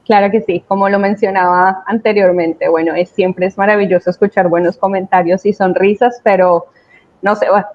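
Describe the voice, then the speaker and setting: A woman giving an explanatory business talk in deliberate, formal phrasing. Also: mid-range voice, fairly even intonation. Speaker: software company representative. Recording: dull, lo-fi online call audio.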